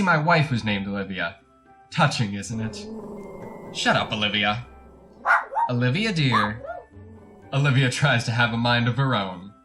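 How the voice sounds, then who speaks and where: A man's voice: mid-range voice, a bit bright. An actor playing a chatty, over-polite, creepy encyclopedia salesman who bullies his dog, in a retro radio drama monologue. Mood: genial, chatty. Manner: fussy, over-polite, wordy, theatrical.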